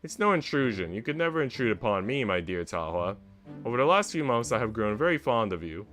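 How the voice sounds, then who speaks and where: A man's voice: mid-range voice, big pitch swings, a little fast, a bit bright, soft background music. A man voicing a wealthy, kindly elderly noblewoman in a visual novel, with a genteel, reassuring manner. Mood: fond, reassuring. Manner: genteel, reassuring, passionate about the arts. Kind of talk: visual novel dialogue read aloud.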